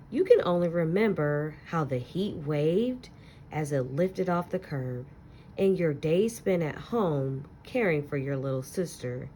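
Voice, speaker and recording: A woman teacher reading a children's picture book aloud with gentle, expressive storytelling and soft asides to young listeners. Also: low voice, slight background noise.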